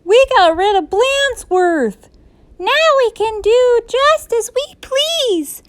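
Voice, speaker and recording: An excitable, mischievous storybook schoolkid, played by a woman. The voice is pitched very high and swoops about with eager exclamations. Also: slow, exaggerated voice. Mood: excited. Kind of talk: children's storybook read-aloud.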